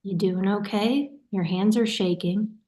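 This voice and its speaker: A woman acts out a caring sister in a stage play who likes to tease and is quick to get suspicious. She speaks in a natural, conversational way at a medium pitch. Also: dark tone, natural voice. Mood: concerned. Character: caring, teasing, suspicious sister of a young man. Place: remote online staged reading.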